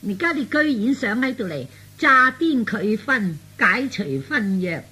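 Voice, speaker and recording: A man voicing a sharp, scolding young jilted fiancée in husky falsetto for a radio comedy. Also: animated, a little slow, exaggerated voice. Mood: scornful, indignant. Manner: scolding, taunting, emphatic. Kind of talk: Cantonese radio comedy acting.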